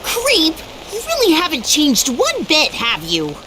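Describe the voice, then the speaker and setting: A woman's voice: high-pitched, wildly swooping pitch, a little slow, background noise, exaggerated voice. An actress playing a sassy, short-tempered young woman in an anime video game. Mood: annoyed. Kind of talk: English anime game voice acting.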